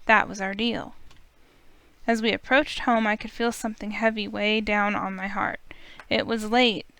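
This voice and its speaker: A woman reading a visual novel aloud in steady first-person narration. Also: mid-range voice, normal speed, clear, resonant tone. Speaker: let's play reader.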